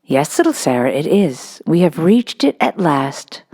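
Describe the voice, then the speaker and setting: A doting young captain and father from a storybook, read by a woman. He talks gently and reassuringly, with tender patience. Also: low-pitched, very animated, a little slow, slightly rough, full character voice. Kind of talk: storybook character reading.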